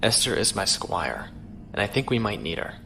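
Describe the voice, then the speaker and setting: An actor playing a steady, courteous starship captain in a science fiction audio drama, crisp and authoritative. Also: male voice, lowish voice, normal speed, exaggerated voice, poor audio. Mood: composed, instructing. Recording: faint music behind.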